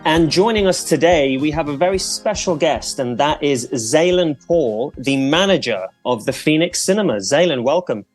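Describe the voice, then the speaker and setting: A man's voice: on the high side, animated, moderate pace, few pauses, soft background music. A man hosting a podcast, introducing a guest and asking questions in a friendly, chatty way, reacting with enthusiasm. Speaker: podcast host. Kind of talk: casual podcast interview.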